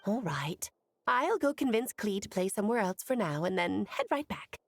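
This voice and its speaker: A woman voicing a confident adult female mage who speaks in an airy, poised and gently bossy way in video game dialogue. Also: medium pitch, very animated, moderate pace, no room sound, studio quality. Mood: breezy.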